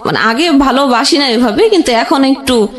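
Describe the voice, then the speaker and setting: A young woman with a Bangladeshi accent telling her own life story conversationally, in a halting, plain way with frequent rephrasing. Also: high-pitched, animated. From Jashore region, Bangladesh. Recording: roomy echoing sound.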